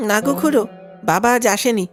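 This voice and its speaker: A woman's voice: fairly high pitch, moderate pace, music in the background, close to an everyday voice. A woman voicing a young village boy who sells jaggery, earnest and anxious, in colloquial cartoon dialogue. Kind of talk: animated ghost story dialogue.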